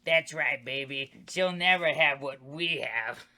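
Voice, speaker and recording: Stabby the Clown, a bitter murderous clown, sneers and rants in a piercing, sharp-edged voice, shouting in outrage at his ex, as a man reads the part aloud at a table read. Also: a little high, measured pace, heavily acted. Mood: sneering. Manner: ranting, shouting in anger. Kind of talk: table read of a comedy horror script.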